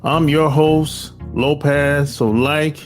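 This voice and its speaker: A man hosting an interview podcast, reading sponsor spots and introducing his guest in a deliberate, emphatic announcer style. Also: fairly high pitch, steady tone, faint music behind. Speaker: podcast host.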